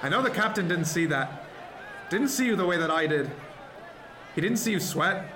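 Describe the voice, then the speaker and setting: Defiant first mate, radio drama, high voice, earnest commanding speeches, loud crowd and noise behind. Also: male voice, animated, moderate pace. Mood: rousing. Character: defiant mutinous first mate, a commanding male ship's officer. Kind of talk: serial radio drama acting.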